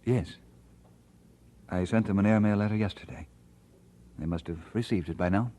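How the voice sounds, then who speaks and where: An actor playing an earnest, conscientious male pastor in an audio drama, speaking in a measured, deliberate way. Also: fairly low pitch, mellow, lightly acted. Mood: grave, resolved. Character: earnest, conscientious male pastor torn over his calling. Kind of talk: dramatised radio-play acting.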